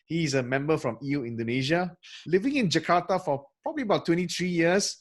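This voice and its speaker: A man's voice: fairly high pitch, lively intonation, fast talker. Friendly male podcast host. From Malaysia. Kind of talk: podcast interview over video call. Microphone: video call.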